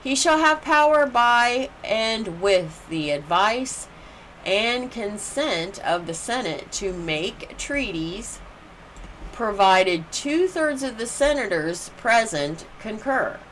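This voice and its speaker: A woman leading a class, reading study material aloud phrase by phrase in a deliberate way with brief informal asides. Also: on the high side, animated, a bit bright, slight background noise, rough recording. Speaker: class leader reading numbered study questions and answers.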